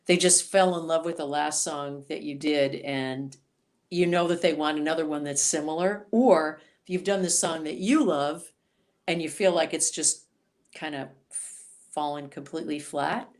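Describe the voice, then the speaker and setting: A woman singer-songwriter being interviewed on a podcast, talking in an animated, chatty way. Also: low-pitched, moderate pace. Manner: animated, chatty storyteller, voices quoted reactions.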